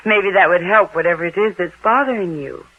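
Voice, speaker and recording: An actress playing an anxious, evasive wife in a 1950s radio drama, urgent and coaxing. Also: female voice, fairly low pitch, moderate pace, husky. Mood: strained, coaxing. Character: anxious, evasive young wife, female, firm and pleading. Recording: noisy, muffled old radio recording.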